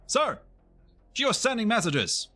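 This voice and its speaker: A man playing an edgy, eager henchman in an amateur game fan dub, with a jumpy, animated way of talking. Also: high-pitched, slow pace, soft background music, full character voice.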